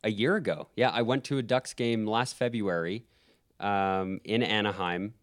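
Laid-back young Canadian man, clear voice. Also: fairly low pitch, moderate pace. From Alberta, Canada. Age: young adult. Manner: relaxed, laughs easily, frequent ums and restarts. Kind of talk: casual conversation.

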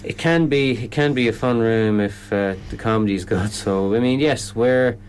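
A man's voice: on the low side, moderate pace, slight background noise. An Irish man explaining his comedy event in a radio interview, often stopping and restarting sentences. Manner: hesitant, lots of restarts.

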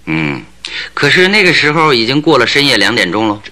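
An actor playing a shrewd, confident detective in a Chinese radio drama, speaking in a theatrical, probing and needling manner. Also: male voice, mid-range voice, big pitch swings, fairly quick, pushed and bright. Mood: needling, amused.

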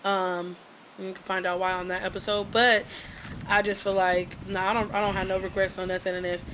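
A woman in her twenties co-hosting a podcast, talking in animated, teasing casual banter and laughing often. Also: medium pitch, fairly quick, noisy background. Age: 20s. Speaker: podcast co-host.

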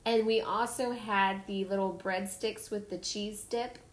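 A woman hosting a podcast, telling stories in a casual, animated way and acting out the people in them. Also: mid-range voice, unhurried. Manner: animated storytelling, acts out kids' voices. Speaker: podcast host. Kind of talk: casual chat. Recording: echoey room.

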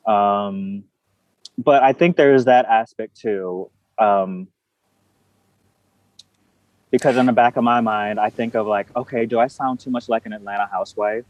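A man's voice: lowish voice, fairly even intonation, measured pace, pauses often, a little mellow, no room sound, lo-fi sound. A man speaking candidly as an interview guest, reflective and thoughtful.

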